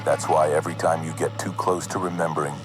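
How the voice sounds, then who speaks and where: A man narrating an analog horror video as a cryptic, foreboding figure, slowly and deliberately in short, measured phrases. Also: very deep voice, little pitch movement. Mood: ominous, cryptic. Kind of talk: slow scripted horror narration addressed to the listener. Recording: music under the voice.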